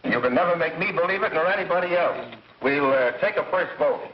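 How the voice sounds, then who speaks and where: Man as firm, dismissive jury foreman, 1930s film acting, slightly high voice, muffled soundtrack. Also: brisk, reverberant, a little hiss or hum, natural voice. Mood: firm, insistent. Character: stern, unyielding male jury foreman.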